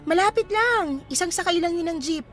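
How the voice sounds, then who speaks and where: A young woman in a radio romance drama, with a high voice and a coy, teasing manner. She speaks expressively, like a shy girl with a crush, over faint background music. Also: expressive, fairly quick, fairly bright tone. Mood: playful, friendly. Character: young single woman shyly smitten with a travel acquaintance.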